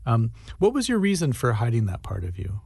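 A man interviewing an author, asking questions in a gentle, sympathetic way. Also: medium pitch, wildly swooping pitch, brisk, vocal fry, slightly rough, no echo, studio quality.

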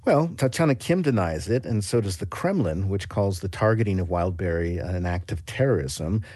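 A man's voice: deep voice, animated, moderate pace, mellow, no room sound. A man with an American accent giving an explanatory radio news report as a foreign correspondent, in a conversational way.

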